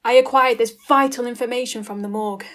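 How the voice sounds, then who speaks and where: Woman acting a high, pompous aunt detective. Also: steady tone, fast, a bit bright, lightly acted. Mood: self-important. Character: pompous, self-important bumbling senior detective aunt. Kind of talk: amateur comedy whodunnit acting.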